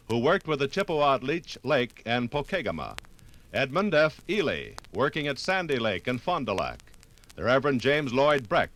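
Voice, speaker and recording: A man's voice: mid-range voice, fairly bright tone, slight background noise. A man narrating a scripted 1950s radio documentary in emphatic, declarative storytelling.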